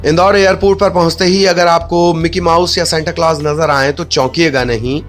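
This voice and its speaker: A man's voice: a little high, steady tone, moderate pace. Male news narrator with music behind.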